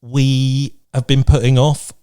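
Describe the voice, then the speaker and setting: A man's voice: medium pitch, little pitch movement, slow, warm tone, smooth. A man co-hosting a podcast in casual chat, with an encouraging, upbeat manner. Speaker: podcast co-host.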